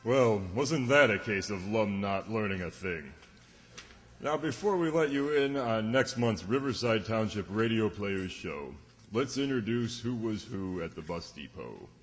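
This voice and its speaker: Low-voiced male announcer in an echoey hall. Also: lively intonation, a little slow, soft background music. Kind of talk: old-time radio announcing to a live audience. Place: township hall stage. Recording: echoey hall, clear background noise.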